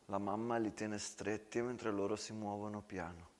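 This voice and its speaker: A man's voice: fairly low pitch, steady tone, normal speed, a little mellow. A man narrating a war story as a theatrical monologue. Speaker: stage storyteller, actor. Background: acoustic guitar.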